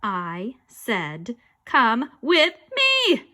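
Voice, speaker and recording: An eerie, bossy ghost girl acted by a woman for a children's storybook. The words are slow and stretched, with a commanding, spooky edge and a bright tone. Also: lowish voice. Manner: spooky, drawn-out delivery. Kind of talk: children's audiobook character voice.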